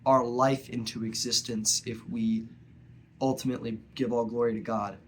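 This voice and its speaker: A young man speaks slowly and earnestly, stopping often to find his words. His nose is blocked from a cold, and his pitch stays flat and steady. A faint hiss sits under the recording. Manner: earnest, halting, with frequent pauses. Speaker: vlogger. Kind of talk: personal reflective monologue.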